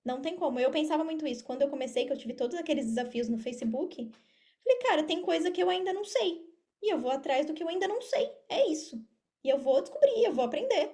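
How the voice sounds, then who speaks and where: Female Brazilian podcast guest, high but soft-edged tone, conversational, encouraging and emphatic. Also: lively intonation, fast. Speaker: podcast guest, affiliate marketer. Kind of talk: casual interview answers, motivational advice. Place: remote video call.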